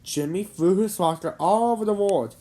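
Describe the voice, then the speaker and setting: A woman's voice: mid-range voice, normal speed, mellow, a little hiss or hum. A woman reading a children's storybook aloud, now and then stumbling over words. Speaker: storybook reader.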